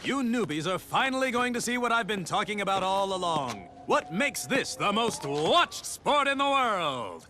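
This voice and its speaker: An actor playing a flamboyant, smug racing show host in a video game, theatrical and sardonic with a sneer. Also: male voice, sits high, big pitch swings, normal speed, hardly any pauses, sharp tone, slight background noise, exaggerated voice. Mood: boastful. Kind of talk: showy video game cutscene acting. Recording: music behind the voice.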